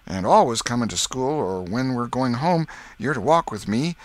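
Man playing an eager, folksy schoolboy, lively pitch. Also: normal speed, dry recording, lightly acted. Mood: insistent. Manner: folksy, insistent, coaxing. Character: eager, boastful, pushy young schoolboy.

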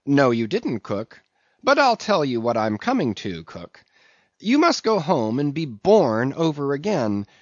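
A man voicing a brash, bossy whaling ship's mate in a storybook, teasing and interrogating loudly. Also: mid-range voice, very animated, unhurried, no room sound, highs cut off, pitched above the performer's own voice. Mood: stern teasing. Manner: loud, teasing, interrogating, swooping exclamations.

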